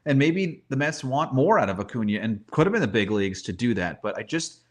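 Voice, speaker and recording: A man's voice: medium pitch, big pitch swings, brisk. A man giving off-the-cuff sports analysis in casual talk, conversational, often repeating words as he thinks aloud. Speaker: baseball commentator, guest analyst.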